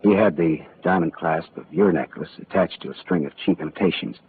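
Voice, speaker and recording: A laconic hard-boiled detective for 1940s radio drama; low voice, tinny broadcast. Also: male voice, a little fast, slight background noise. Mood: guarded. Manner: dry, laconic first-person narration. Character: hard-boiled male private detective narrator. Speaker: lead actor. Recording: tinny old radio broadcast with loud music.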